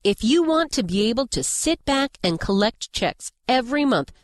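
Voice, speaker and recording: A woman reading a scripted promotion with a smooth sales delivery. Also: on the high side, very animated, moderate pace. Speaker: ad announcer. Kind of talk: scripted ad read.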